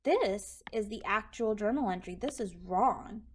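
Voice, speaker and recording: A woman's voice: mid-range voice, lively intonation, a little slow. A woman instructor explaining accounting in an informal, step-by-step tutorial style. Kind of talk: explanatory tutorial over handwritten notes. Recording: clearly noisy recording with clicks.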